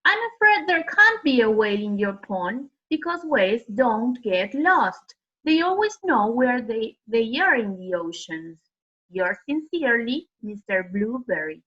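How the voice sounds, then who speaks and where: A woman teacher reading a children's story aloud in a careful, measured and expressive way. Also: fairly high pitch. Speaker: primary school teacher, co-reader. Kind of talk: slow read-aloud of story letters for children. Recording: dull lo-fi sound.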